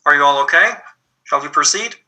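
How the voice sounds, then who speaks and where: A man from Iran with a Persian accent, teaching an online programming lab and explaining things patiently with frequent pauses. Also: on the high side, animated, bright, forceful tone. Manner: patient, explains step by step with many ums. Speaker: lab instructor. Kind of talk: live online coding lab.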